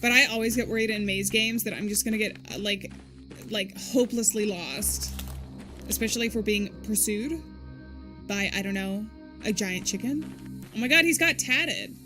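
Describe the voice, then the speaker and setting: A woman streaming a game live, chatting casually, laughing often and reacting loudly to what happens. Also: mid-range voice, moderate pace. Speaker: streamer playing games. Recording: game music behind the voice.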